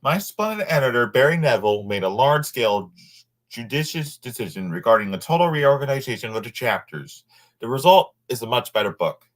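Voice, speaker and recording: A man reading a nonfiction book aloud informally, sometimes halting over words and adding asides. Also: medium pitch, big pitch swings, low-quality recording.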